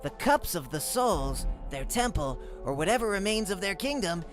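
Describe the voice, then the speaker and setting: A performer voicing an earnest, bookish young male cup creature in a comic dub, lecturing precisely and excitably. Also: mid-range voice, normal speed, over music, close to an everyday voice. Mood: storytelling.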